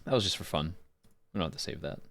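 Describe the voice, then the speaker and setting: A man's voice: deep voice, lively intonation, quick pace, creaky, sharp tone. A man chatting casually on a livestream as a streamer and voice actor.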